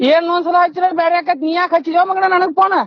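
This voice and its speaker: Very high-pitched, scolding phone voice. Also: level tone, rapid-fire, dry recording. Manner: combative, scolding, foul-mouthed. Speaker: prank call recipient. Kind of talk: heated phone argument. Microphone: phone call. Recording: dull muffled phone call recording.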